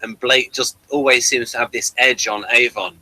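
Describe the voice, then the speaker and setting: A man's voice: mid-range voice, steady tone, moderate pace, bright, forceful tone, rough recording. A man hosting a casual review discussion of a TV series, emphatic and given to repeating his words.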